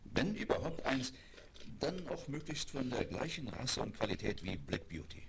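An actor playing a kindly, considered middle-aged gentleman father in a seventies radio play on noisy vinyl. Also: medium pitch, sing-song, moderate pace, vocal fry, over a noisy crowd. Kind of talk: 1970s German radio play acting. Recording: loudly crackling 1970s vinyl record, clinking tableware.

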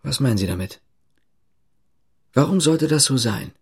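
An actor playing an insistent East German police investigator in a radio drama, asking formal, pressing questions in a slightly husky voice. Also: male voice, medium pitch, lively intonation, normal speed. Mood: probing.

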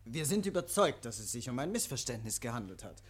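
An actor playing a calm, polite, matter-of-fact police inspector in a 1950s radio play. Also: male voice, mid-range voice, a little fast, breathy edge. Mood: polite, reserved. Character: calm, courteous, reserved male police inspector.

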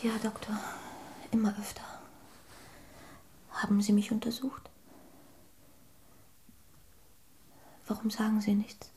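An actress plays a suspicious, anxious wife in a radio drama. She speaks in a measured, probing way, as a woman on guard. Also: medium pitch, little pitch movement, warm tone, a little hiss or hum, close to an everyday voice. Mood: faint, fearful. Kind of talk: German radio drama acting.

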